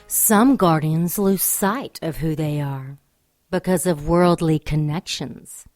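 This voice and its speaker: An actress playing a grave, ominous narrator, speaking in a solemn and measured manner in an audio drama. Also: female voice, sits low, a little mellow, dry recording, soft background music. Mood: grave, instructive. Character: grave, ominous female narrator teaching about guardians. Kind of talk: solemn scripted drama monologue.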